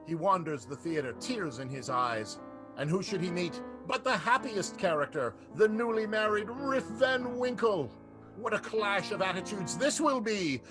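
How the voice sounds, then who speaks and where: High-voiced man announcing over loud music. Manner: melodramatic, wry. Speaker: narrator and announcer of improvised radio show. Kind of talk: theatrical radio soap narration.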